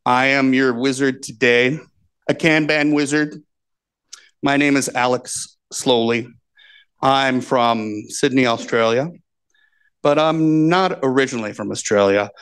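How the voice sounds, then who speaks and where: A man from the giving a conference talk, speaking plainly and reading his points out slowly on a handheld mic. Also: medium pitch, fairly bright tone, clean tone. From United States. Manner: plain, measured, reads points out slowly for translation. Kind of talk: slow, deliberate conference talk. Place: conference room with projector. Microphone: handheld microphone.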